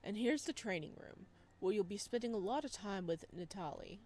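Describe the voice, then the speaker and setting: A woman voicing a calm, reassuring man who recruits newcomers in a visual novel, in a measured manner. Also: medium pitch, close to an everyday voice. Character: calm, reassuring male recruiter showing newcomer around, Dima.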